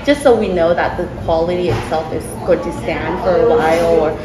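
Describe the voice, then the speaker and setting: A woman talking casually in an interview about her family's sushi restaurant, chatty and quick to laugh, often restarting her sentences. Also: lowish voice, steady tone, crowd noise. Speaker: restaurant owner. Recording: echoey room with background noise.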